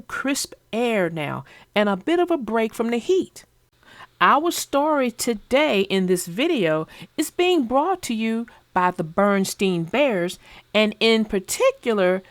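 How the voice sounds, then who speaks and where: Animated, sing-song woman reading aloud. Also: on the high side, no echo. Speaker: storyteller. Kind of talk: children's book read-aloud.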